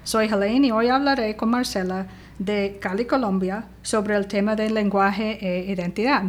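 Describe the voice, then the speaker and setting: A woman's voice: medium pitch, steady tone, moderate pace. A woman hosts a podcast and guides the talk with short, encouraging questions. Her voice sits in the middle range. A faint hiss of noise runs under the recording. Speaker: podcast host. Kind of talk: interviewing.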